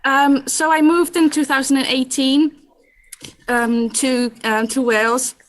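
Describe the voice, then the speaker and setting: A woman from the Netherlands answers onstage questions in a fairly high voice. She hesitates with frequent ums and small laughs. The sound is dry. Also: steady tone, unhurried, dry recording. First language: Dutch. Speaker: award winner, digital skills trainer. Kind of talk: onstage interview.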